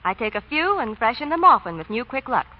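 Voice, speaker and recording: A woman's voice: on the high side, very animated, fairly quick. An actress playing a chatty, cheerful young woman with an American accent in 1940s radio advertising dialogue. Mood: chirpy. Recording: dull vintage radio recording.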